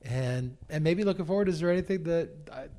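A priest interviewing on a radio program, speaking haltingly, restarting and repeating words as he gathers his thoughts. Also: on the high side, mellow.

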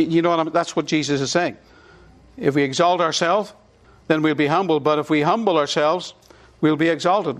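Irish pastor preaching with emphatic repetition. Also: male voice, fairly high pitch, a bit bright. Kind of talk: sermon.

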